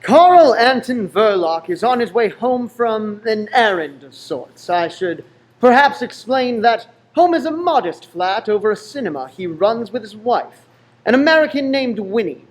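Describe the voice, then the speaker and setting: A man's voice: high voice, very animated, measured pace, poor audio. A man narrating a radio play in a wry, suspenseful storytelling manner.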